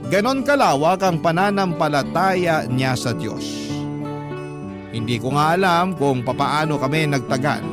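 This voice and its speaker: A man narrating as a radio drama host, reading a scripted personal story in measured phrases. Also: fairly high pitch, expressive. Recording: loud guitar music under narration. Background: prominent guitar music.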